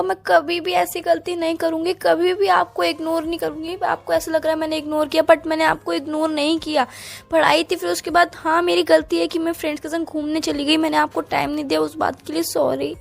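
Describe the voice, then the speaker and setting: A woman's voice: very high-pitched, vocal fry, heavily acted. A woman with an Indian accent playing a hurt, apologetic young student girlfriend, pleading in a phone-call romance drama. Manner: pleading, plaintive.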